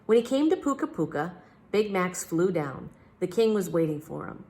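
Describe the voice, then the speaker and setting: A woman reading a children's book aloud as a storyteller, with an expressive, theatrical delivery. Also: lowish voice, normal speed.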